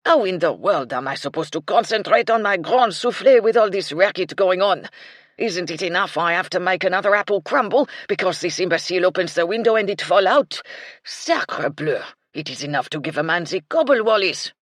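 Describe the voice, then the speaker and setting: A woman's voice: on the low side, expressive, fairly quick, no echo, clean studio sound, pitched above the performer's own voice. A woman voicing an irate male French chef with a French accent, indignant and theatrical.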